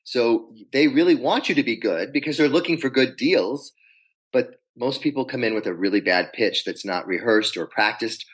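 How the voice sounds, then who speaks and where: A man coaching on pitching in a podcast interview, telling stories and acting out quoted voices. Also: moderate pace, husky. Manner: animated storyteller acting out quotes.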